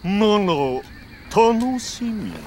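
A man voicing an imperious, calm middle-aged warlord in a Japanese video game, stately, commanding and coolly menacing. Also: high-pitched, slow, noisy background, exaggerated voice. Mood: magnanimous, commanding.

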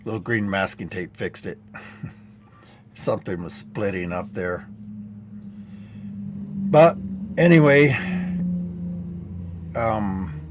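Hoarse older man teaching DIY live. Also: on the low side, slow, pauses often, background noise. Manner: dry-humored, rambling how-to talk. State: getting hoarse. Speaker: DIY channel host. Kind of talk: live-stream teaching chat. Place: indoor studio set. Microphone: headset.

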